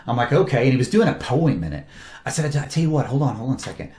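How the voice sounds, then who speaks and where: Animated man telling stories in an echoey room. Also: medium pitch, rapid-fire. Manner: animated storyteller, laughs often, acts out quotes. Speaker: film director and producer. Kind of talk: casual interview talk.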